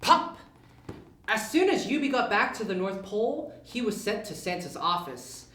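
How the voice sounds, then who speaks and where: A woman's voice: mid-range voice, very animated, moderate pace, fairly bright tone. A woman narrating a children's storybook as a scripted readalong. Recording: roomy, distant mic.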